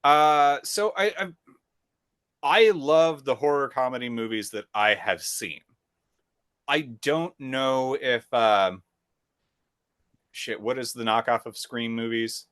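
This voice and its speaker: A man's voice: medium pitch, slow, pauses often, a bit bright, clean tone, no room sound. Podcast co-host, man, mid-range voice, blunt and profane, dry close mic. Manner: blunt, profane, rambling storyteller. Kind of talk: casual conversation.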